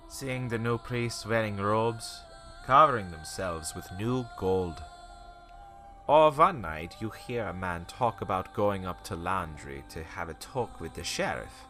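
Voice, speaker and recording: An actor playing a haunted, bitter old small-town shopkeeper in a radio play, telling an ominous tale haltingly with theatrical rhetorical questions. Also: male voice, on the low side, natural voice. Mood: haunted, urgent. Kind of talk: dramatic radio play monologue.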